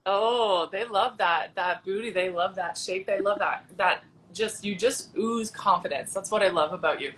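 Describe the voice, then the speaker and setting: A woman hosting a relaxed live-stream chat, talking in a gushing, giggly way at a middle pitch. A faint hiss of background noise sits under her voice. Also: moderate pace, hardly any pauses, poor audio. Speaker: host. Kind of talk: casual live-stream interview.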